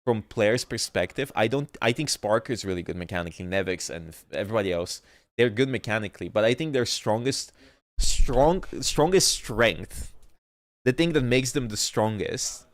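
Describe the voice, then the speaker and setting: A man's voice: lowish voice, lively intonation, normal speed, no room sound. A man streaming casually, rambling and arguing about competitive teams and often losing his thread, with a stuffy nose. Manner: rambling, argumentative, trails off mid-point. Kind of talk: casual gaming chat.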